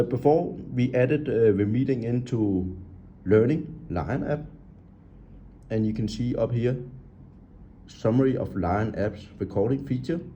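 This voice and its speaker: A man giving an unscripted walkthrough of an app, halting and talkative, pointing out items on the screen in English with non-native grammar. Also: on the low side, warm tone, slight background noise. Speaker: app tutorial presenter.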